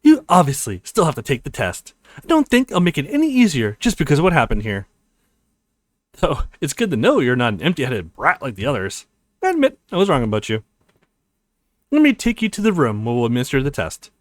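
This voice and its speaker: A man voicing a stern, busy woman professor with a brisk, condescending manner in a visual novel. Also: medium pitch, wildly swooping pitch, no room sound, clean studio sound. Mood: stern, conceding.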